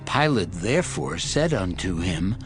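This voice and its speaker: A man narrating scripture in a steady, formal reading style. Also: deep voice, measured pace, vocal fry. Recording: narration over music.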